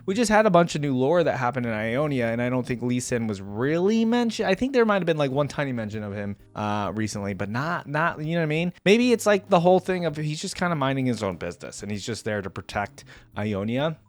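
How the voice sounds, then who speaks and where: A man's voice: mid-range voice, dry recording. Casual male reaction host, dry close sound. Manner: conversational, rambling, addresses viewers directly.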